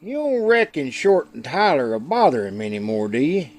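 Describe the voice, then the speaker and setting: A man's voice: a little high, sing-song, fairly quick. Guy doing a folksy deputy sidekick, respectful, chatty, old radio western. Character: folksy, deferential marshal's deputy helper.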